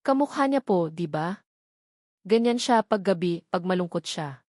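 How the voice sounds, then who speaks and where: A woman's voice: on the low side, lively intonation, unhurried, a little mellow, dry recording, studio quality. A woman voicing a shy, polite, wide-eyed little boy in a narrated story. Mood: excited.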